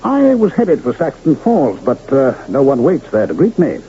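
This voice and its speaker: Casting: older carnival showman for vintage radio drama, theatrical and genial, very animated pitch, dull hissy recording. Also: moderate pace. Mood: kindly, scheming. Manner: theatrical, florid, courtly diction. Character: genial, grandiloquent old carnival showman, widowed, sly. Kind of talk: old-time radio drama acting. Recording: muffled, noisy vintage radio broadcast.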